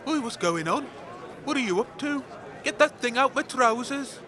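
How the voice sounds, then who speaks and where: Man playing a high, forceful bookmaker. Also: lively intonation, normal speed, exaggerated voice. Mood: suspicious. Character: loud, shifty male bookmaker at wasteland games. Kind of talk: comedy sketch acting. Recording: outdoor crowd noise.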